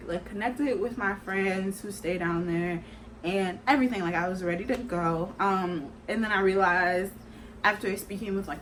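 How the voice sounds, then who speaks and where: A woman's voice: mid-range voice, a little hiss or hum, rough recording. A woman in her twenties talking casually to camera in a chatty, rambling way, filling her speech with "like" and "kinda". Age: 20s. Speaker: vlogger.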